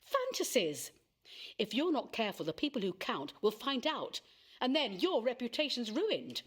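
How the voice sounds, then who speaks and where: Radio play: brisk, sensible, sharp-tongued mother, highish voice with lively swoops. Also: husky. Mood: exasperated, firm.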